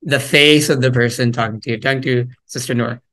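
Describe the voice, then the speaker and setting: A man giving an interactive workshop from home over a video call, chatty and searching, with plenty of fillers and restarts. He throws questions to his listeners and picks up on their answers. His voice sits at an ordinary middle pitch through a laptop mic. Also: steady tone, fairly quick, fairly bright tone. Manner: conversational, engaging the audience with questions; frequent fillers and repeated words. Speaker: workshop presenter. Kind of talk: interactive parenting workshop talk.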